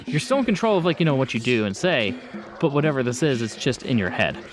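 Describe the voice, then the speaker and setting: A man acting as game master, improvising horror narration in a conversational way with pauses and bursts. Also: medium pitch, sing-song, faint music behind.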